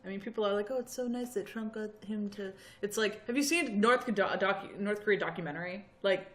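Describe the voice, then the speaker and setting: A woman's voice: mid-range voice, fast, fairly bright tone. A young woman co-hosting a podcast in casual chat, sarcastic and full of "like". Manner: sarcastic, lots of "like". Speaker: podcast co-host.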